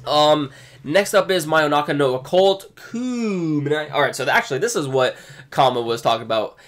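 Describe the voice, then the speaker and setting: A man chatting loosely on a live stream, full of ums and restarts, with a lively voice that rises and falls a lot. His voice sits a little high for a man and is turning hoarse as he keeps talking. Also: moderate pace. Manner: chatty, many fillers and restarts, reads text aloud. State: losing his voice. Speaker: anime streamer. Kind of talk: casual live stream commentary.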